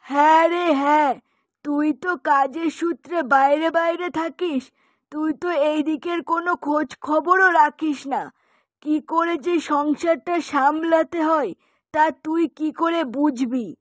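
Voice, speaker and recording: Casting: actress as worried, scolding village mother for cartoon, high-pitched, dry close sound, music underneath. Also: fairly even intonation, measured pace, no echo. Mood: complaining, chiding. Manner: chiding, complaining. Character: nagging, worried widowed mother of a grown son. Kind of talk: Bengali moral-story cartoon dubbing.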